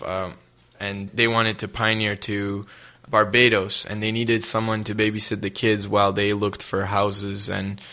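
A young man from Haiti, answering interview questions in a soft-spoken, casual way, often restarting his phrases. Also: lowish voice. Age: young adult. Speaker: interview guest.

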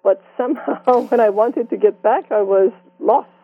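A woman in her sixties from Iran with a Persian accent, telling her life story in an interview with reflective, gently amused warmth. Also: on the high side, moderate pace, no room sound. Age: 60s. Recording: dry tinny phone-like sound.

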